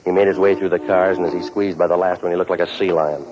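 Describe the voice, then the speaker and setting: A man acting a hard-boiled American private eye in a vintage radio drama. The voice sits low and delivers sardonic wisecracks in a terse deadpan. The old recording is muffled and dull. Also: fast, noisy background, natural voice. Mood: wry narration. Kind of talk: 1940s radio noir acting and narration.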